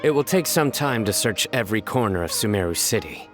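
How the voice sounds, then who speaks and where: An actor playing a terse, proud, determined champion in a video game. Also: male voice, fairly low pitch, expressive, a little fast, music in the background, close to an everyday voice.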